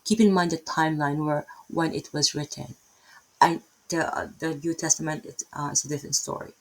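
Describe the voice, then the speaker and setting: A woman's voice: deep voice, steady tone, brisk, poor audio. A middle-aged woman with a Filipino accent giving a casual, rambling Bible lesson, often checking in with "right?" and breaking off mid-thought. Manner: rambling, self-interrupting, tags many lines with "right?". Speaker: Bible teacher. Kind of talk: casual unscripted Bible teaching.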